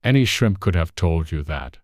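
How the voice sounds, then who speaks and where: Deep, brusque griffin, performed by a narrator. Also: expressive, moderate pace, a little mellow, dry recording, clean studio sound. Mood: impatient. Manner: solemn, brusque, impatient. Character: gruff, impatient male griffin creature, solemn know-it-all.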